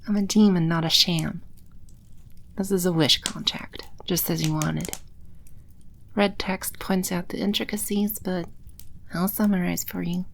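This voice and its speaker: A woman playing a playful, teasing demon empress in an ASMR audio roleplay, speaking with unhurried, coy confidence. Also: lowish voice, steady tone, vocal fry, slight background noise. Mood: businesslike, teasing. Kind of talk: ASMR audio roleplay, spoken close to the listener.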